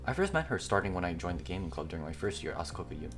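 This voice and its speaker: A man's voice: low-pitched, big pitch swings, rapid-fire, a bit bright, soft background music. A man voicing a stubborn, playful young fox girl obsessed with games, in casual teasing banter. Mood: earnest.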